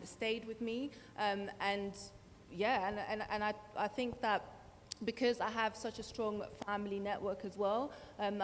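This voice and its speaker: Женщина, панелистка, в зале с эхом. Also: female voice, mid-range voice. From Tanzania, raised in the Netherlands. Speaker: panelist. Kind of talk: panel discussion. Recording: long hall reverb, faint noise.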